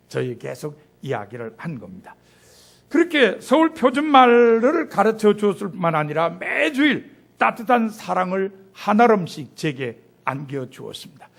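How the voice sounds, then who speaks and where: A middle-aged male pastor preaching a sermon, telling a personal story with lively, rising and falling emphasis. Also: high-pitched, creaky.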